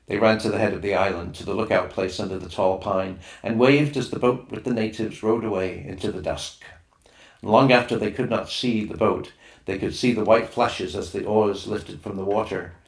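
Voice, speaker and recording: Low-voiced man reading, in a roomy space. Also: steady tone, moderate pace, creaky. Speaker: audiobook reader. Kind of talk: scripted narration of a children's novel. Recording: roomy, echoing room sound.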